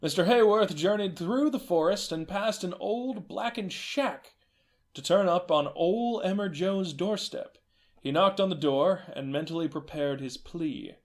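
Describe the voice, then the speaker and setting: A man narrating a fairy tale in a gentle, measured storytelling manner. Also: high-pitched.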